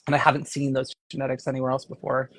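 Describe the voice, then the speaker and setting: Woman, raspy from a cold, casual livestream guest, lots of ums, dry sound. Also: very deep voice, completely flat delivery, rapid-fire, dry recording, rough recording. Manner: casual, many fillers like um and like. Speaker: cannabis grower and consultant. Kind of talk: livestream interview.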